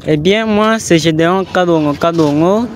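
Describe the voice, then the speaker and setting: A teenage boy, a top exam student, answering interview questions in an earnest, measured way. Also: a little high, a crowd in the background. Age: late teens.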